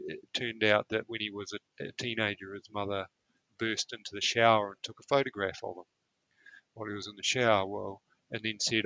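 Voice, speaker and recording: A male therapist answering an interview question in a thoughtful, measured way. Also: fairly low pitch, sharp tone, no room sound, low-quality recording. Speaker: therapist guest.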